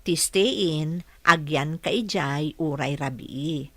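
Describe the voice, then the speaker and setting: An actress playing a gentle, earnest young single mother looking for work in an audio drama, speaking politely. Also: sits low, slow pace, no room sound, heavily acted. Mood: tender, reassuring.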